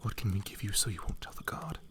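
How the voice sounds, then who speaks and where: A man voicing a devoted, protective knight, soft, breathy and earnest, in a romantic ASMR fantasy roleplay. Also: fast, lightly acted. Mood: wary, uneasy. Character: devoted young knight, protective, wary, tender lover.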